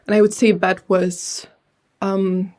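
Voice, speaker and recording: A young woman from Lithuania, a translator, answering interview questions thoughtfully with frequent pauses and fillers. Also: mid-range voice, level tone, darkish tone. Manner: thoughtful, hesitant with many fillers. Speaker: translator and writer, guest.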